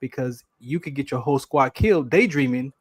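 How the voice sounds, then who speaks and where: A man's voice: mid-range voice, animated, a little fast, no room sound. A man hosting an interview, speaking earnestly with repeated words and frequent "right?". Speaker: host. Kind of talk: casual interview talk.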